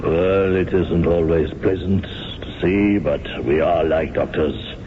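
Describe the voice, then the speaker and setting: Heavy: a detached zealot, extremely deep voice, reverberant muffled radio. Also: male voice, slight background noise. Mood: ruthless calm. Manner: calm, measured, detached. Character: cold fanatic conspirator, calm and ruthless. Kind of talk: 1970s radio drama acting.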